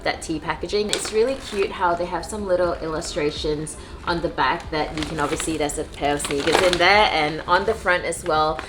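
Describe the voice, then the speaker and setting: A woman's voice: sits low, moderate pace, background noise. A woman from Malaysia chatting casually and enthusiastically to camera as a vlogger.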